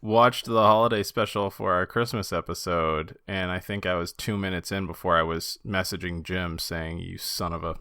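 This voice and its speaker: A man's voice: low voice, steady tone, moderate pace, hardly any pauses, sharp tone, clean tone, no echo, studio quality. A man co-hosting a podcast in a casual, conversational style about fan topics. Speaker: podcast co-host.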